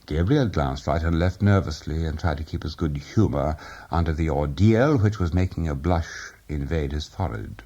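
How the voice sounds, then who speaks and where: A man narrating a literary audiobook in a measured, expressive way. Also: a bass voice, muffled recording. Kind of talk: audiobook reading of literary fiction.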